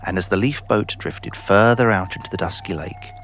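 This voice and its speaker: A man narrating a scripted children's story in an expressive storytelling manner. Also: deep voice, moderate pace, soft background music.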